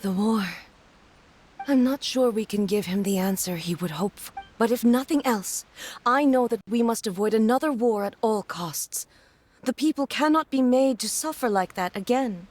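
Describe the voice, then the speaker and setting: A dignified female ruler from a video game, played by a woman. She speaks in a fairly high voice, earnest and formal, weighing each phrase. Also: a little mellow, close to an everyday voice. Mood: solemn. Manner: formal, measured.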